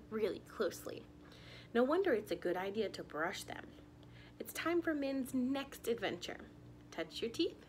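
A young woman reads a picture book aloud for small children. Her voice is fairly high and swings animatedly up and down, while she takes her time over the words. She talks straight to her young listeners in an expressive storytime manner, recorded in a living room. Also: slight background noise, poor audio. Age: young adult.

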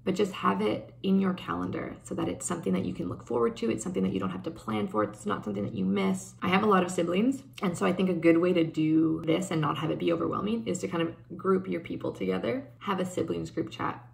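Young canadian woman vlogging to her webcam, earnest and upbeat, kinda low, lots of vocal fry. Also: fairly even intonation, fast, hardly any pauses, darkish tone. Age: young adult. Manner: gesturing with her hands while talking. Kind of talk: casual talk to camera. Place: bedroom indoors.

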